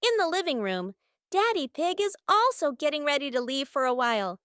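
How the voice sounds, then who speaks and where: A woman reading a scripted children's story as narrator, with expressive storybook delivery. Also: sits high, moderate pace, no echo, studio quality.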